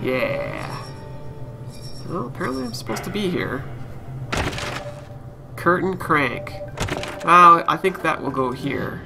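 Casting: male game streamer, fairly high voice, thinking aloud casually over game music. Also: big pitch swings, slow, gravelly, pauses often. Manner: musing aloud to himself and viewers. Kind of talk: casual live let's play commentary.

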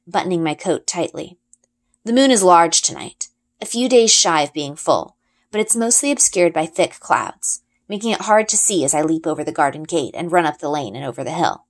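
A woman reads a children's fantasy story aloud. Her voice sits a little low and moves through wide, animated pitch swings as she narrates. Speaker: audiobook-style reader.

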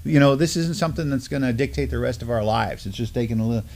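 A man's voice: mid-range voice, quick pace, gravelly, darkish tone, a little hiss or hum. A middle-aged man with an American accent hosting a casual news discussion in an informal, enthusiastic way. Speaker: news show host. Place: indoor studio at a table.

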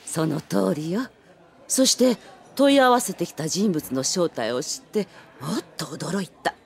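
A woman's voice: on the low side, animated, creaky. An elderly lady novelist in an anime audio drama, genteel and playful. Her intonation swings widely as she teases and draws out what she reveals. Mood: confiding. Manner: genteel, teasing, deliberately revealing.

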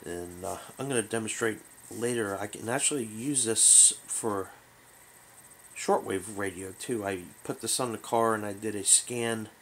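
A man hosting a hobby show, giving a casual product overview in a halting, rambling, unscripted way. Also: fairly low pitch, slight background noise. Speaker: host of a hobby radio show. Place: small bedroom, indoors.

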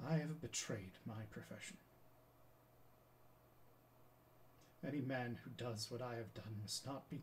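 A man's voice: mid-range voice, a little hiss or hum, close to an everyday voice. A man performing a stage monologue as a defeated, self-condemning aging scientist, in a theatrical, declamatory manner. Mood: quiet self-condemnation. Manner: theatrical, declamatory, impassioned oratory.

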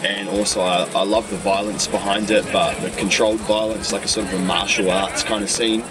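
A man's voice: fairly low pitch, little pitch movement, fairly quick. A young man from New Zealand, a reenactor, talking casually in a documentary interview at a night camp outdoors.

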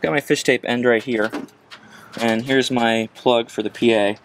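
A man in his thirties talks through a hands-on job in a casual, medium-pitched voice. He thinks aloud as he works. The camera mic picks up outdoor ambient noise around him. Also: fairly even intonation, measured pace. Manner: casual, chuckling, thinking aloud while working. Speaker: DIY presenter. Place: outdoors at an open car. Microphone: camera set near the work.

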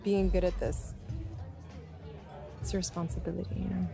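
An actress playing an earnest, open-minded young college soccer player, talking conversationally in a video game cutscene. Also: female voice, fairly low pitch, flat delivery, moderate pace, creaky, over music, crowd noise. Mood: sincere. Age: young adult.

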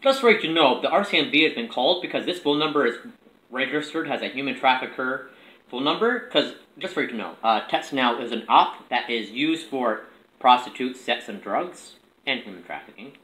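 A young man with a bright, lively voice chats casually as he makes prank calls. He is recorded at arm's length in an echoey room. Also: mid-range voice, normal speed. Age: young adult. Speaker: prank caller filming himself. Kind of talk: casual chat and prank calling. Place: small room indoors at a table. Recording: echoey room with background noise.

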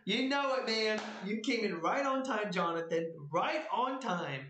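A man in his thirties live-streaming at a desk indoors, muttering low, then shouting whenever he wins, with his voice going hoarse. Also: expressive, a little slow, a little hiss or hum. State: losing his voice. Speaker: lottery scratch-off streamer. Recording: roomy echo, loud music behind.

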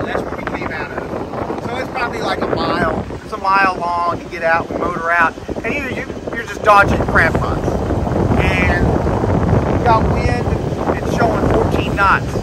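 Casting: fifties man, slightly higher voice, unpolished how-to talk, engine noise behind. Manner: rambling, hands-on explaining with restarts. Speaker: sailing vlogger. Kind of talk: casual how-to narration. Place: sailboat cabin, then open deck outdoors.

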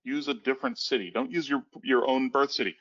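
A man's voice: medium pitch, brisk, a bit bright. A man in his thirties presenting a cybersecurity webinar in a casual, rambling way, often restarting his sentences. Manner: rambling with frequent restarts and fillers. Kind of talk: casual conversational webinar talk. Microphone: headset on a video call. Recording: dull lo-fi webinar audio.

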